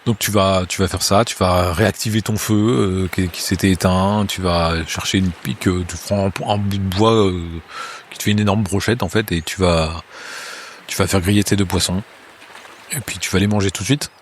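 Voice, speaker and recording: A man running a tabletop horror game as game master, narrating scenes to a player in a halting way with many hesitations. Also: low voice, fairly even intonation, a little hiss or hum. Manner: hesitant, many filler sounds and restarts; second-person scene narration.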